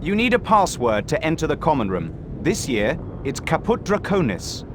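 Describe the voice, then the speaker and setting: Bossy teen boy prefect for a game, highish voice, very up and down, music behind. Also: big pitch swings, moderate pace, background noise. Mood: self-important. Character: officious, self-important teenage boy school prefect. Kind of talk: video game voice acting.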